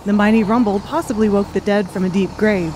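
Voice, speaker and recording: A woman's voice: medium pitch, steady tone, a little fast, very noisy. A woman reading scripted story narration for an audiobook.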